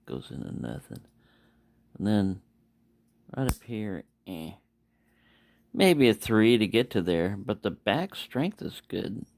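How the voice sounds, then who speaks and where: A man's voice: fairly low pitch, very animated, slow, creaky, pauses often, darkish tone, no echo. A man giving a casual, unscripted product review, rambling and correcting himself as he goes. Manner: rambling, self-correcting, mumbling asides. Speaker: knife reviewer.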